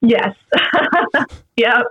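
A woman's voice: fairly high pitch, animated, very slow, pushed and bright, breathy edge, no echo. A young American woman from Massachusetts chatting casually and gigglingly as an interview guest. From United States, Massachusetts. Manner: giggly, chuckles while talking. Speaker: actress being interviewed as guest. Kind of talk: casual chat. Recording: dull, slightly lo-fi close recording.

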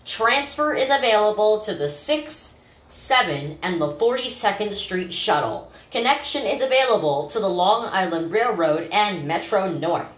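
A woman reading scripted subway announcements in a formal, measured way, setting listed items apart with pauses. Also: mid-range voice, animated, breathy edge.

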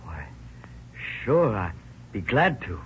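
Polite eager young shop helper, lively voice, hissy old radio sound. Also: male voice, on the low side, slow pace. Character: gentle one-legged young man, shop partner. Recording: muffled old radio broadcast with hiss.

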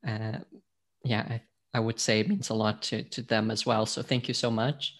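Hesitant podcast host from Portugal. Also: male voice, lowish voice, flat delivery, moderate pace. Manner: hesitant, many fillers and restarts, rambling. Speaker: podcast host, now interviewee. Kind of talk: casual podcast conversation.

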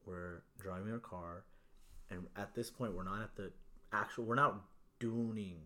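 A man whose first language is Urdu, co-hosting a casual podcast and telling stories in an animated, slangy way. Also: fairly low pitch, a little slow. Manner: animated, slangy storyteller who says "yo" a lot. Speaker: podcast co-host.

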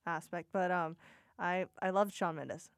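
A young woman from Puerto Rico with a deep alto voice, hosting a casual podcast chat and rambling eagerly with lots of "like" fillers. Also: steady tone, unhurried, vocal fry, a crowd in the background. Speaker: podcast host.

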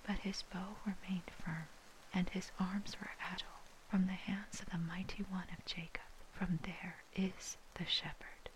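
A woman reading scripture in a soft, breathy, unvoiced whisper, ASMR style, with measured phrasing. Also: fairly low pitch, low-quality recording. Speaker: scripture reader.

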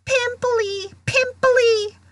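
A woman's voice: high voice, lively intonation, slow, very noisy. A woman voicing a wicked, sly little male poltergeist who taunts in a mock-sweet manner for a storybook. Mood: gleeful bellowing.

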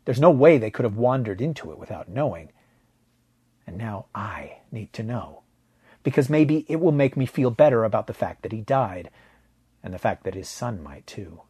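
Male narrator for sci-fi audiobook: low husky voice, measured and dramatic, very dry recording. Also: expressive, darkish tone, no room sound. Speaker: fiction narrator. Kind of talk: first-person audiobook narration of a science fiction story.

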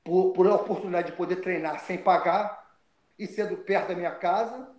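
An older man from Brazil, telling stories of his start in fighting as an interview guest, animated and often restarting his words. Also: sits high, normal speed, echoey room, a little hiss or hum. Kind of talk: storytelling interview.

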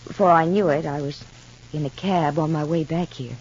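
A woman's voice: low voice, moderate pace, darkish tone, slight background noise, close to an everyday voice. An actress playing an anxious, devoted mother in an old radio soap opera, earnest and brooding. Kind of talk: 1940s-50s radio soap opera acting. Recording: muffled, dull old radio recording.

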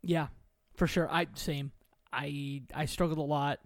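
A woman's voice: sits low, steady tone, a little slow, no echo. Casual woman chatting, very dry sound. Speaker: podcast guest. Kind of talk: casual chat.

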